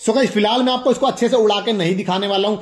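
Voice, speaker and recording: A young man with a high voice talks casually to viewers as a toy review host. His delivery is enthusiastic and full of exclamations, and he tends to repeat his points as he demonstrates. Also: rapid-fire.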